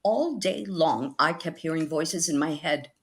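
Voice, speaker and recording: A woman's voice: deep voice, animated, unhurried. A woman playing a scatterbrained, ailing spinster, cheerfully morbid and rambling dolefully, in 1940s radio comedy style. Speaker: actress in a radio comedy recreation.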